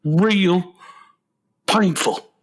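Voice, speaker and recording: A man's voice: high voice, very slow, exaggerated voice. A man playing a self-pitying grown son who fancies himself a country singer, earnest and melodramatic, in a comic radio play.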